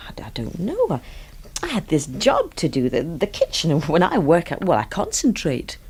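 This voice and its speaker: An actress playing a flippant, hard-hearted young housekeeper in a radio mystery, talking bluntly and casually. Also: female voice, on the low side, huge pitch swings, normal speed, darkish tone, husky, full character voice. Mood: flippant, defensive. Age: young adult. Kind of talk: radio mystery drama acting.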